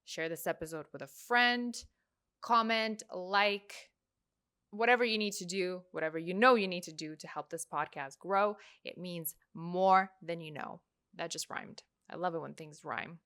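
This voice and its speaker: A woman's voice: fairly low pitch, moderate pace, bright, forceful tone, clear, resonant tone. A woman who speaks Russian as her first language, hosting a podcast and musing casually in reaction commentary with many fillers. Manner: chatty, musing, trailing off with fillers. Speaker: podcast host.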